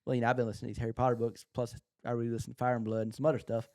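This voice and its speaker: A man's voice: mid-range voice, flat delivery, racing, a little mellow, no room sound. A man co-hosting a podcast in casual chat, with an informal, matter-of-fact way of talking. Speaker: podcast co-host. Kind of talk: casual chat about books and shows.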